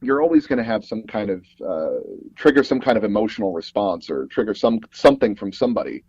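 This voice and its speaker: A man being interviewed as a guest, telling personal anecdotes in a rambling, halting way and often circling back to rephrase. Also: fairly low pitch, animated, a little mellow, breathy edge, no echo, dull audio. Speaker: guest audio drama producer and actor.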